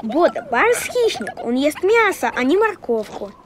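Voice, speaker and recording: A woman's voice: high voice, very animated, measured pace, noisy background. A woman voicing a knowledgeable, helpful young child in an educational cartoon, earnest and explanatory. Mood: friendly, correcting.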